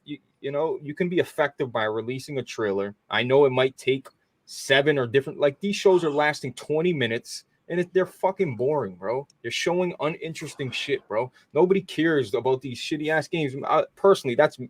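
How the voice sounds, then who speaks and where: A man's voice: on the high side, expressive, moderate pace, no echo. Rambling, profane gaming podcast host man. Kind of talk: casual gaming podcast chat.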